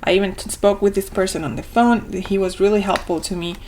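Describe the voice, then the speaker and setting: A woman's voice: mid-range voice, fairly even intonation, brisk, darkish tone, a little hiss or hum. A young woman from Argentina, a native Spanish speaker with an Argentine Spanish accent, showing a homeschool reading curriculum page by page in a chatty way, with many ums and restarts. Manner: chatty, with frequent ums and self-corrections. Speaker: homeschooling parent reviewing a curriculum. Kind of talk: casual product walkthrough.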